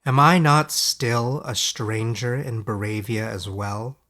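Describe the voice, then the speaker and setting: Man voicing an earnest, quietly resolute wizard. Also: medium pitch, takes it slowly. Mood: quietly firm. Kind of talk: fairy-tale audiobook character voice.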